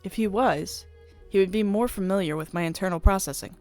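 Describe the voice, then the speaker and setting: A woman's voice: medium pitch, a little fast, a little mellow, lightly acted. Woman voicing a prim, polite female AI idol. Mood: troubled, earnest. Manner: prim, courteous, stilted wording. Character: polite, formal, earnest female AI pop idol. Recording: music playing behind the voice.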